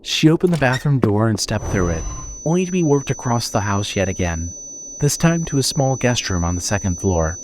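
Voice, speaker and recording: Low-voiced man narrating horror. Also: expressive, moderate pace, darkish tone, a little hiss or hum. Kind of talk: scripted horror story narration.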